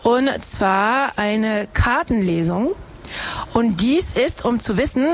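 A woman's voice: a little high, a little hiss or hum. A woman hosting a live radio show outdoors, chatting and teasing her listeners with many fillers. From Harz, Germany. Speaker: radio host. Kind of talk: casual live radio chat. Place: outdoors at a live street broadcast.

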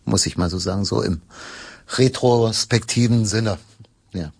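A middle-aged man from eastern Germany answering interview questions in a halting way, with frequent pauses. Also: lowish voice, vocal fry, darkish tone, slightly rough, no room sound. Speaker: local historian, history workshop leader.

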